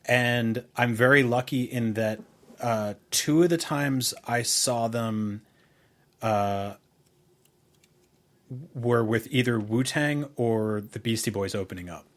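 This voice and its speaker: A man's voice: medium pitch, takes it slowly, fairly bright tone. A man co-hosting a podcast in casual conversation, rambling informally through memories and opinions, with some swearing. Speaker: podcast co-host. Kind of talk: casual chat, reminiscing about music.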